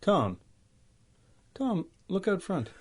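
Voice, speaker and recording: An actor playing an angry, desperate small-time swindler in a melodramatic radio crime drama. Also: male voice, sits high, very slow, slightly rough, full character voice. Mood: outraged, alarmed. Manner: excitable, melodramatic. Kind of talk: 1930s-style radio crime drama acting.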